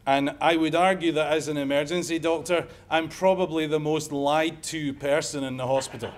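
A middle-aged Scottish man lecturing at a conference in a fairly high voice. He talks in a wry, conversational way and slips jokes into his points. A lapel mic picks him up in a lecture room. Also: fairly even intonation, normal speed. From Scotland. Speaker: emergency medicine professor giving a lecture. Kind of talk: conference talk.

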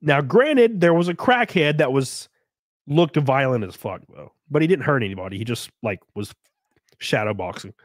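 A man in his thirties hosting a casual live stream from a small home room, close on a boom mic, joking crudely and sounding congested from a cold. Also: animated, moderate pace, no room sound. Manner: crude, joking, laughs often. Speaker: livestream host. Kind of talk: casual live-stream chat reading donations. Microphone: studio mic on boom arm, close.

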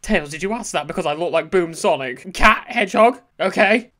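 A woman's voice: fairly low pitch, animated, normal speed, fairly bright tone, a little hiss or hum. A sassy, irreverent, sweary woman doing a comic parody dub over video game scenes. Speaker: parody dubber.

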